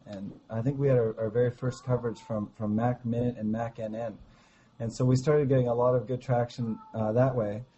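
A man with a slightly hoarse voice from a cold, talking about his startup in a halting, enthusiastic way during an interview call. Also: medium pitch, flat delivery, warm tone, lo-fi sound, a little hiss or hum. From Canada. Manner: halting, many restarts, enthusiastic. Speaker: startup founder guest. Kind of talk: casual interview over a call.

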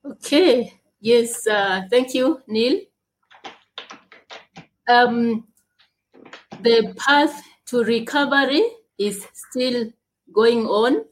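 Woman, slightly high voice, talks super slow and halting, long gaps. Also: rough recording. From Uganda. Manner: slow, halting speech with long pauses, from a speech impairment. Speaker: guest, leadership coach and speaker. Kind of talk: interview answers.

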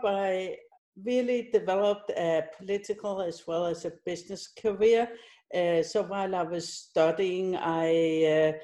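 Mellow middle-aged woman. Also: on the low side. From Denmark. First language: Danish. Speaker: company executive being interviewed. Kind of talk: conversational interview answers.

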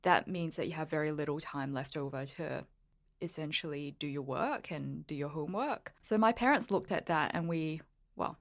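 Podcast guest, female, from Singapore, low register, thoughtful conversational explainer with loose, informal phrasing. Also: normal speed. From Singapore, moved to Melbourne at thirteen. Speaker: podcast guest, lawyer and blogger. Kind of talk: casual interview chat.

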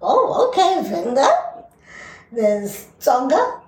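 A woman's voice: sits high, very animated, slow pace, a little mellow. A South African woman whose first language is Zulu, co-hosting a casual podcast and teaching words in a playful, self-mocking way. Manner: playful and chatty, laughing at herself. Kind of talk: casual chat. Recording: roomy, distant mic, faint ambience.

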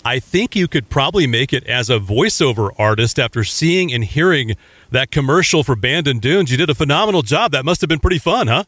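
A man's voice: mid-range voice, animated, a little fast, a bit bright, dry recording. A man hosting a podcast, introducing the episode and asking questions eagerly in a casual chat. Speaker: podcast host.

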